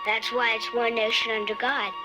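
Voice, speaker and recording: A young female speaker with a childlike, halting manner, reciting and explaining in a radio spot. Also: medium pitch, level tone, over music, poor audio.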